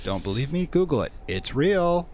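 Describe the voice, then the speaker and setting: A man's voice: a little high, big pitch swings, normal speed, noisy background. A man hosting a let's play, commenting on the game and reading its text aloud. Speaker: gaming channel host.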